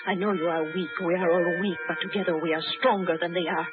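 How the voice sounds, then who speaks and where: A woman's voice: fairly low pitch, fairly even intonation, quick pace, lightly acted. An actress playing a brave village cleaning woman in a 1950s radio drama, pleading urgently. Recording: tinny old radio broadcast with music.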